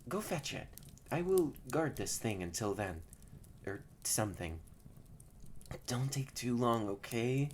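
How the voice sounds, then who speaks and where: Tsundere young catboy acted by a woman, haughty, bossy, easily flustered, slow-paced, lively intonation, intimate audio roleplay. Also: very deep voice, a little hiss or hum, full character voice. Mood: puzzled, bossy. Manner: bratty, bossy, flustered, lapsing into soft murmurs. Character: haughty, tsundere young male catboy, secretly soft-hearted. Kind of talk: scripted roleplay audio drama, addressed to the listener.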